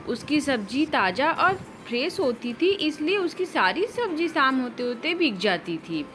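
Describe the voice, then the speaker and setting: A woman narrating a scripted children's cartoon story as a storyteller. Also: high-pitched.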